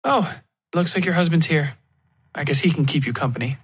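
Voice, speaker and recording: Man reading as a brisk, caring woman doctor. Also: fairly high pitch, fairly bright tone, slightly rough, pitched above the performer's own voice. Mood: brisk, reassuring.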